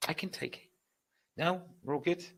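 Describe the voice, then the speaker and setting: A British man with a bright, forceful voice runs the question time of a live online trading class. He is chatty and upbeat, reading out viewers' requests and promising future sessions. His talk is loose and warm-hearted, full of quick asides. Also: on the high side, creaky, breathy edge. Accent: British English. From United Kingdom. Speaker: trading educator hosting a webinar. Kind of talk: live webinar Q&A.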